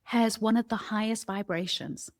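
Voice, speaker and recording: A woman's voice: mid-range voice, fairly even intonation, normal speed, no echo. A woman co-hosting a podcast, talking candidly and reflectively in a conversational style. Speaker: podcast co-host.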